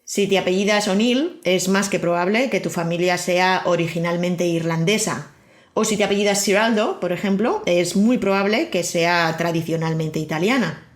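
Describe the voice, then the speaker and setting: A woman's voice: lowish voice, normal speed. Wry Spanish woman podcaster. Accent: Spain Spanish. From Spain. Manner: wry, personal storytelling. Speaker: podcast host. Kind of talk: scripted podcast narration. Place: living in Miami.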